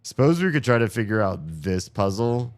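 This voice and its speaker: A man streaming a puzzle game, grumbling sarcastically about the puzzles while his voice is going hoarse. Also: on the low side, very animated, normal speed, dry recording. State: losing his voice. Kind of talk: casual live-stream commentary.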